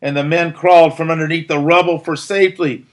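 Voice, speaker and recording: A man's voice: fairly high pitch, steady tone, fairly quick. A man reading a narrative aloud as a teacher would, in a dramatic, emphatic storytelling style. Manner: theatrical, emphatic delivery.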